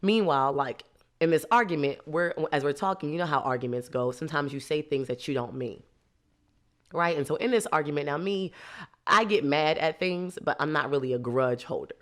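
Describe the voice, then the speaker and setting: A woman, hosting a podcast and explaining ideas in a lively, laughing, casual way. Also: sits low, brisk. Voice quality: nasal. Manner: lively, laughs a lot, teasing. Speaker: podcast host. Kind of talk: casual teaching talk.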